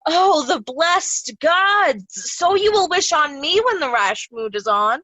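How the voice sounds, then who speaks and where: A woman's voice: sits high, lively intonation, slow pace, bright, forceful tone, no echo, full character voice, low-quality recording. A woman playing a cool royal daughter, formally admonishing her old father in Shakespearean style. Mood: exasperated. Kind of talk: Shakespearean reader's theatre acting.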